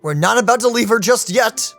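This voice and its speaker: A woman hosting a gaming channel, reacting to a game with excited, playful comments. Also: a little high, a little fast, music in the background.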